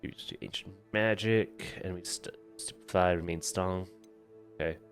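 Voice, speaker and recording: A man's voice: low-pitched, fairly even intonation, moderate pace. A man doing casual live playthrough commentary as a streamer, thinking aloud and playfully repeating phrases. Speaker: video game streamer. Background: game music.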